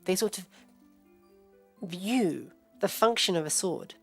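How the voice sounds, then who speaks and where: A woman giving informal, rambling academic commentary as a livestream host, halting and digressing as she thinks aloud. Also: lowish voice, lively intonation, creaky, husky. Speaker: host and scholar giving a live reading and commentary. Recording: music playing behind the voice. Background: background music.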